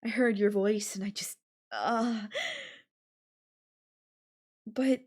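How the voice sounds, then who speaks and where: A woman playing an obsessive, possessive girlfriend in an intimate audio roleplay, sweet and coaxing with a menacing edge and lingering pauses. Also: mid-range voice, darkish tone. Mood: confiding, nostalgic.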